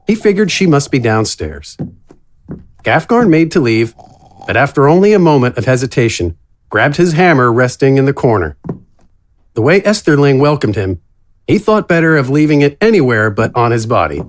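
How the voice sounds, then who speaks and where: A man's voice: mid-range voice, big pitch swings, normal speed, slight background noise. A man narrating a scripted fantasy story as an audiobook-style narrator.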